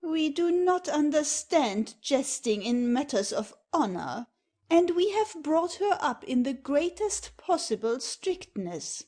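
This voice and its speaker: A woman's voice: high-pitched, very animated, takes it slowly, mellow, heavily acted. An actress playing a haughty noblewoman and strict mother-in-law in a theatrical comedy audio play, imperious and grandly declamatory. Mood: indignant.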